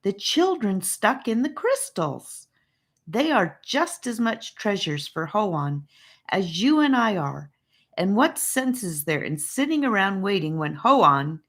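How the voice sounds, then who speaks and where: A woman's voice: medium pitch, sing-song, slow, a bit bright, pitched above the performer's own voice, heavily acted. A woman voicing an impatient, outspoken young girl, indignant and emphatic, in an audiobook story. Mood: exasperated.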